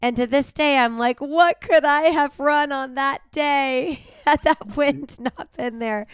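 A woman hosting a podcast, raspy from a cold, chatting casually and laughing often. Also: high-pitched, slow, dry recording, studio quality. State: recovering from a cold. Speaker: podcast host. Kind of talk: casual interview chat.

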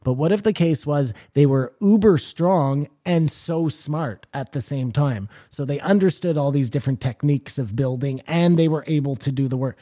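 A man's voice: on the high side, moderate pace, few pauses, mellow. A man co-hosting a podcast, rambling and speculating in casual chat, swearing freely. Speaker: podcast co-host.

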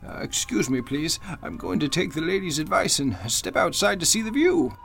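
A man's voice: fairly high pitch, animated, moderate pace, gravelly. A man playing a courteous, slightly forgetful elderly judge in a radio drama, speaking in a hesitant, courtly way. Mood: genial, courtly. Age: older. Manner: hesitant, courtly, chuckling speech. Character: genial elderly judge, courteous, mildly forgetful old gentleman. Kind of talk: golden-age radio drama acting.

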